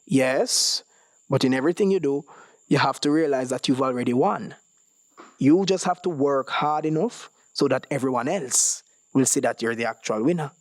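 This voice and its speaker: A calm, wise male companion from an audio short story, read by a man. He is measured and gently advising, with a light teasing edge. The recording is dry and close. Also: mid-range voice, no echo, natural voice.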